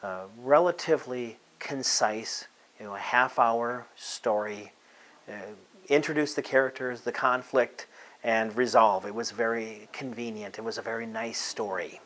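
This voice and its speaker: A middle-aged American man speaks at medium pitch in a casual interview. He is thoughtful and halting, pausing as he builds his sentences. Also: fairly bright tone. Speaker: theatre producer and stage actor.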